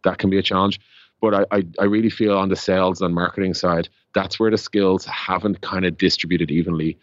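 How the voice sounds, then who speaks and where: A man's voice: sits low, steady tone, a little fast, no echo, dull audio. An Irish man explaining business as an interview guest, rambling conversationally with lots of "like" and "you know". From Ireland. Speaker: interview guest, tech startup co-founder.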